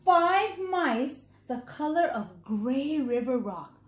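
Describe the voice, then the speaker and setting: A woman reading a children's story aloud as a teacher, dramatic and deliberate for young listeners. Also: sits high, very animated. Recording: echoey, roomy sound.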